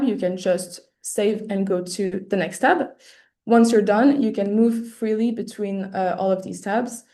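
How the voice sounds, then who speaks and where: Hoarse woman presenting a webinar. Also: medium pitch, dark tone. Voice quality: slightly hoarse. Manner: hesitant, many fillers and restarts. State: losing her voice, clearing her throat often. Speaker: webinar presenter for an arts award. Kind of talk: explaining an application process. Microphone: computer mic on a video call.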